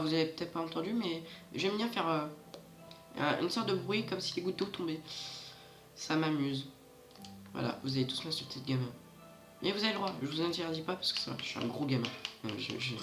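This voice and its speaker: A man's voice: fairly high pitch, a little fast, long pauses, fairly bright tone, echoey room, soft background music. A man giving casual, rambling let's-play commentary straight to his viewers, with a stuffy nose from hay fever. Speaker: gaming channel host.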